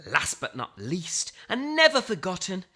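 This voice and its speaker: A woman reads a children's picture book with theatrical flair and suspenseful pauses. Her voice is bright and swoops widely in pitch, taking its time over the dramatic words while faint music plays behind her. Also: wildly swooping pitch. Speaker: storybook reader. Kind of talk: animated children's picture book read-aloud.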